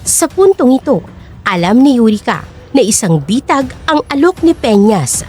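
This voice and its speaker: A female narrator reads a scripted drama. Her voice sits high and moves with lively, sweeping intonation, in a dramatic storytelling style.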